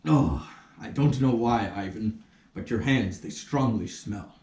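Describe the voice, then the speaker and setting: A man's voice: fairly low pitch, measured pace, noisy background. A man voicing a vain, pompous major in his thirties for a puppet play, boastful and theatrical, recorded in a roomy space. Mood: complaining. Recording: roomy, distant rehearsal recording.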